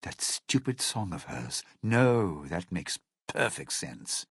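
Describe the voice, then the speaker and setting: Casting: a bitter, sardonic video game schemer, gravelly and slow, with theatrical swings in pitch. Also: male voice, big pitch swings, dry recording, clean studio sound, full character voice. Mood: suspicious, irritated. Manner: sly, sardonic, theatrical delivery. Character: scheming, bitter, sardonic ghoul crook from a casino heist. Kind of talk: video game character dialogue.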